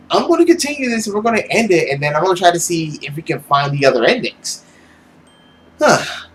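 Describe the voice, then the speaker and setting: Male game host, highish animated voice, theatrical reading, casual commentary, faint music behind. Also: slight background noise. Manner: expressive, theatrical. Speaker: gaming video host.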